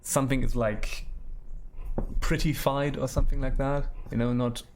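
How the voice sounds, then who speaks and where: Creaky-voiced man streaming a game. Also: mid-range voice, level tone, moderate pace, a little hiss or hum. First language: German. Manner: musing, thinking aloud, repeats words. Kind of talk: casual game commentary, chatting with viewers.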